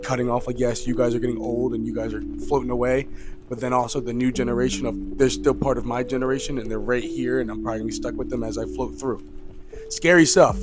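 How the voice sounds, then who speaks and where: A young man in his twenties delivers a casual, rambling rant to camera, with "like" in nearly every sentence. He gets loud and exasperated when worked up. Music plays clearly behind him. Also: mid-range voice. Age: 20s. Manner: rambling, filler-heavy, says "like" constantly. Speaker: youth coach and vlogger.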